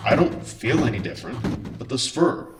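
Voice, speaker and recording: A man's voice: mid-range voice, expressive, normal speed, a little hiss or hum, close to an everyday voice. A man playing a reserved, questioning robot in a new furry beast body, in a pensive comic dub performance. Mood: puzzled. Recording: echoey, distant, loud music underneath.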